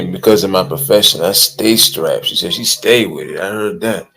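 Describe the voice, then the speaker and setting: A man hosting an online panel, relaxed and laughing as he reads out the chat. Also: deep voice, moderate pace, creaky.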